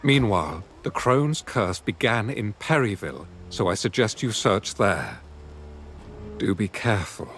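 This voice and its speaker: A man playing a guarded, sceptical adventurer in a fantasy video game, speaking in a measured, formal, cautioning way. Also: on the low side, lively intonation, a bit bright, soft background music. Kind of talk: video game dialogue acting.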